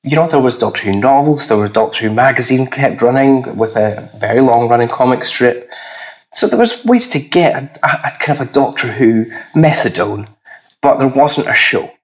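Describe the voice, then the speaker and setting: A man with a husky, slightly hoarse voice, a podcast guest chatting casually, hesitant and full of fillers. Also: lively intonation, normal speed. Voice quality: husky, lower than usual. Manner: rambling, hesitant with many fillers. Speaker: podcast guest, comics critic.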